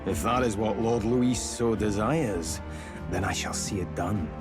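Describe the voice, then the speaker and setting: A man's voice: fairly low pitch, unhurried, lightly acted. Man acting a haughty, menacing fanatic henchman over music. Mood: scornful. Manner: haughty, menacing, formal speech. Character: cold, murderous male henchman, fanatically loyal to his lord. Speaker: villain in a fantasy video game. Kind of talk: scripted video game voice acting. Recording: loud music behind. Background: game soundtrack music.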